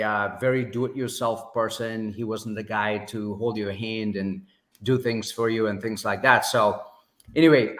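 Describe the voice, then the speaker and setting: A Sri man in his forties speaks low and bright, with a forceful edge to his voice. He talks as a podcast guest, measured and full of pauses and fillers. His voice rings in an echoey room. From Sri Lanka. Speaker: podcast guest, business owner. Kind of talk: casual interview storytelling.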